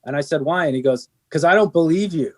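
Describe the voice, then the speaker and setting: A man's voice: fairly high pitch, fast talker. A middle-aged man, a band's singer, talking earnestly in an interview, rambling with many restarts and fillers. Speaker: band singer. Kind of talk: candid interview conversation.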